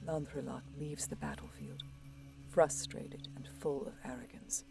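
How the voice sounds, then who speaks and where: Woman playing a solemn, slow-spoken elven seer. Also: rumbling low, sing-song, creaky, in a whisper, exaggerated voice. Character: wary, prophetic Eldar seer woman, solemn lover. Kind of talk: science fiction audio drama acting and narration.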